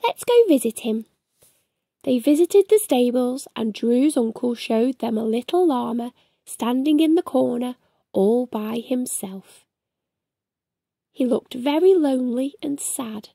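A middle-aged woman hosting a children's storytime, reading aloud in an expressive, sing-song way. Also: on the high side, a little slow, vocal fry, no room sound, studio quality. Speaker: children's storytime host and reader. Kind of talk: animated read-aloud for young children. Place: small furnished room at home.

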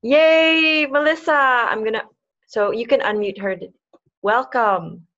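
A woman's voice: fairly high pitch, measured pace, a bit bright. A woman in her forties from the Philippines, hosting a casual online talk and laughing often. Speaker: webinar host. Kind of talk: casual video-call hosting. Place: dim room at home. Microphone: laptop webcam mic. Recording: slightly muffled call audio.

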